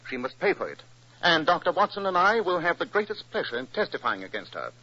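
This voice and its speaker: An actor playing a brisk, authoritative British gentleman detective with a cool, commanding manner in a 1940s radio drama. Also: a little high, sing-song, heavily acted. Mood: stern, commanding. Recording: muffled, hissy old radio recording.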